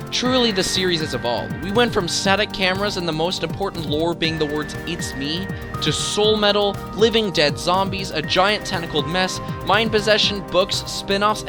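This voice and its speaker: A man hosting a video essay, narrating a scripted countdown with enthusiasm and emphatic, dramatic delivery. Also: fairly high pitch. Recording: voice-over with background music. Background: music.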